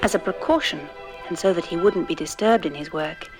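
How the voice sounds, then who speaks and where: A woman's voice: lowish voice, a little fast. A woman narrating a children's story record in a theatrical, suspenseful, scripted style. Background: music.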